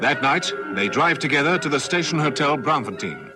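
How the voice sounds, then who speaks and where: Male narrator, fairly high voice, animated intonation, scripted old radio serial style. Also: moderate pace. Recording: vintage radio recording with music. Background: music.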